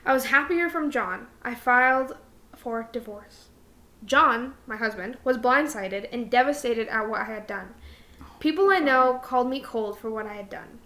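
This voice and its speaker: High, bright young woman, irreverent podcast co-host. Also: normal speed. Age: young adult. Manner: giggly, irreverent, breaks off into joking asides. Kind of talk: casual chat and reading a post aloud.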